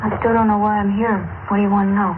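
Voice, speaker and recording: A woman's voice: medium pitch, level tone, racing, close to an everyday voice. An actress playing a curt, defensive young American woman in 1950s radio acting style. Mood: sullen, defensive. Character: defensive, sullen young woman of twenty-one, hard-edged. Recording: noisy, tinny 1950s radio recording.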